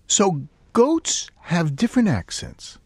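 Radio host, male, New York accent; very animated delivery, dry studio sound. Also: sits high, slow, slightly rough, no echo. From Brooklyn, New York. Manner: curious, playful questioning with a widely swinging voice. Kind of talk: public radio interview. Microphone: studio microphone.

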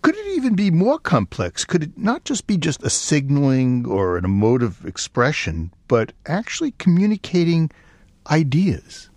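Lively New York radio host. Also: male voice, on the high side, normal speed, no room sound. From Brooklyn, New York. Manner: curious, playful questioning with a widely swinging voice. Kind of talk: public radio interview. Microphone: studio microphone.

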